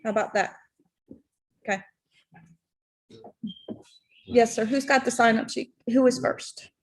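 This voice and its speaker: A woman, the mayor, chairing a public hearing in a plain-spoken, procedural way with filler pauses. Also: fairly high pitch, fairly even intonation, low-quality recording. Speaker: mayor chairing the board meeting. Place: town meeting room.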